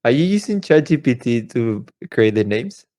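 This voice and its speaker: A man's voice: mid-range voice, very animated, normal speed, warm tone. A man chatting casually as co-host of a podcast.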